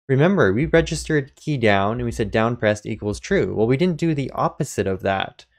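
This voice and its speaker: A man narrating an informal step-by-step programming tutorial as the instructor, explaining things and answering his own questions. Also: on the low side.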